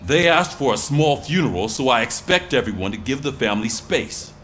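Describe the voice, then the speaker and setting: An actor playing a gruff, authoritative police sergeant giving orders in a video game. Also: male voice, mid-range voice, animated, a little fast, fairly bright tone, slight background noise, lightly acted. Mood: stern, commanding. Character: gruff, commanding police sergeant, middle-aged man.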